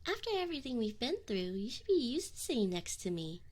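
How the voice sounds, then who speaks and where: A woman's voice: a little high, very animated, quick pace, a little mellow. A woman voicing a teasing, flustered but affectionate succubus in an intimate ASMR roleplay, close to the microphone with whispered asides. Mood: coy, affectionate. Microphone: close binaural microphone.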